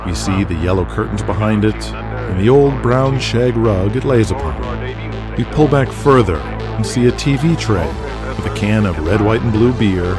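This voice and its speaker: An American man giving scripted dramatic narration as the narrator of an audio series. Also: lowish voice. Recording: music under the voice.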